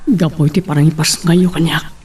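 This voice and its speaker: A man's voice: fairly high pitch, little pitch movement, moderate pace, a little mellow, slightly rough. Man acting distant, grieving, vengeful son. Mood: mournful, vengeful. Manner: solemn, declamatory vowing. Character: grieving vengeful son vowing at mother's grave. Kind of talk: radio-style Ilocano drama monologue. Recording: distant mic pickup.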